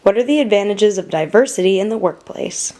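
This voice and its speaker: A woman's voice: mid-range voice, lively intonation, a little fast, mellow, slight background noise. Woman reading out interview questions.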